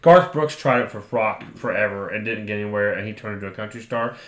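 A man's voice: on the low side, quick pace, fairly bright tone. A man in his thirties co-hosting a podcast, giving blunt, opinionated takes in casual chat and swearing now and then. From United States. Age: 30s. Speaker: podcast co-host. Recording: roomy echo.

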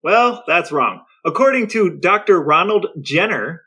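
A man co-hosting a podcast, sharing facts in a dry, deadpan way during banter. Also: high-pitched, measured pace, breathy edge. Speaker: podcast co-host.

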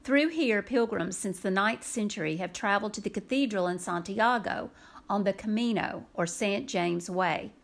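A woman's voice: mid-range voice, moderate pace, gravelly. A woman in her forties hosting her own podcast, eager in her welcome and chatty as she asks her friend questions. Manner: enthusiastic, scripted-sounding introduction, then chatty. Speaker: podcast host. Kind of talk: podcast interview.

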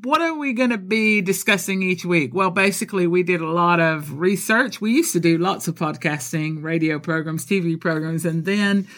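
A man's voice: high-pitched, moderate pace, hardly any pauses, fairly bright tone. A man born in Texas and raised in Colombia co-hosts a podcast with his spouse, chatting casually with frequent laughs and fillers. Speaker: podcast co-host.

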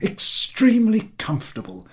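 A man's voice: sits high, wildly swooping pitch, moderate pace, husky, voice pushed up, full character voice. A man voicing an eager, boastful landowner who fawns effusively on his superior in a story reading. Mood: boastful, delighted. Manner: obsequious, effusive, over-polite.

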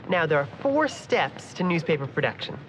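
A woman's voice: low-pitched, sing-song, moderate pace. A woman playing an efficient, polite tour guide in an audio drama, with a presentational manner. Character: chirpy, efficient female printing-plant tour guide. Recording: dull sound, faint noise.

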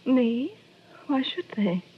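Breathy, plaintive young American widow. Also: female voice, a little high, very animated, exaggerated voice. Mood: coy, evasive. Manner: halting, plaintive. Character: lovely young widow, fragile, upset and pleading. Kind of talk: 1960s radio drama acting. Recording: muffled 1960s radio recording.

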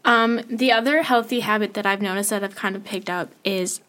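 A woman's voice: medium pitch, a little fast, fairly bright tone. A young woman co-hosting a casual faith-based podcast, talking earnestly and thinking aloud with small restarts. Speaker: podcast co-host.

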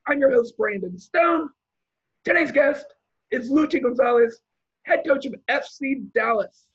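A man's voice: very high-pitched, a little slow, slightly rough, muffled recording. A man hosting a casual podcast interview, introducing his guest and asking loose questions with fillers. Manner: relaxed, reads out guest background with fillers. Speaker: podcast host.

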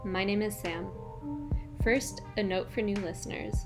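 A woman from Quebec whose first language is French, hosting her podcast and telling her own story in a relaxed, personal way with fillers. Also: mid-range voice, measured pace, over music. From Quebec, Canada. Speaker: podcast host. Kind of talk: casual solo podcast monologue.

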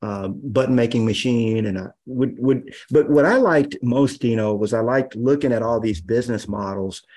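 Man, fifties, podcast guest, easygoing storyteller, lots of fillers, mid pitch. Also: normal speed. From Los Angeles area, USA. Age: 50s. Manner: relaxed and rambling, many fillers, laughs often. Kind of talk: casual storytelling interview.

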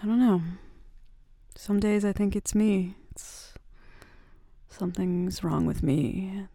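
A woman playing a gentle, touchy, vulnerable bad-girl roommate in an intimate ASMR roleplay, speaking softly and hesitantly into a close mic. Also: mid-range voice, creaky, dry recording. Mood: hurt, vulnerable. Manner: soft, hesitant, halting confiding talk. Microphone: close, dry microphone.

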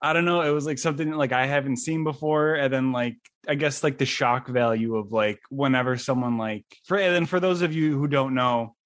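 A man's voice: mid-range voice, fairly quick, few pauses, a bit bright, dry recording, studio quality. A man from western New York hosting a casual interview, asking questions with lots of fillers and restarts. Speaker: podcast host.

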